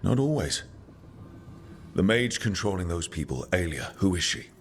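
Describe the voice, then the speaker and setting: An actor playing a steady, encouraging hero with dry wit in a fantasy video game, earnest and gently teasing. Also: male voice, deep voice, normal speed, a little hiss or hum. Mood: earnest, supportive. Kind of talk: dramatic video game dialogue.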